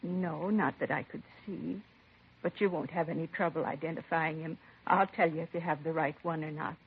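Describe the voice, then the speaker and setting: A woman's voice: sits low, fairly even intonation, normal speed. An actress playing a composed middle-aged mother of a slain son in a 1950s radio crime drama, answering plainly and with restraint. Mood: guarded, composed. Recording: vintage 1950s radio, narrow husky sound.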